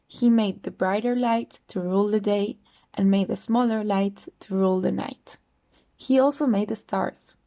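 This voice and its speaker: A woman's voice: medium pitch, steady tone, moderate pace, dark tone. A woman reading a scripture story aloud from a text. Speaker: volunteer scripture reader.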